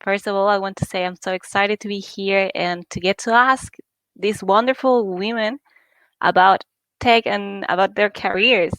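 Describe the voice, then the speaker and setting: A woman in her twenties from Chile moderating an online panel, friendly with slightly halting phrasing. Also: mid-range voice, a bit bright, dry recording. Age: 20s. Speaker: moderator, student.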